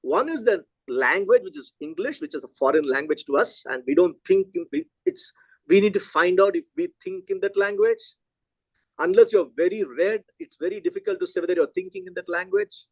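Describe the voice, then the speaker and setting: A middle-aged man from India, a Telugu native, giving a physics lecture in an animated, conversational way and thinking aloud. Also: sits high, fairly quick, husky, no room sound, poor audio.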